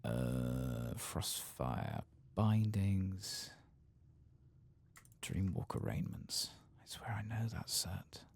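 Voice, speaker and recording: A man softly murmuring low-key ASMR game commentary to himself, breathy and gravelly, often slipping into a whisper. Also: very animated, very slow. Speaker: streamer playing a video game.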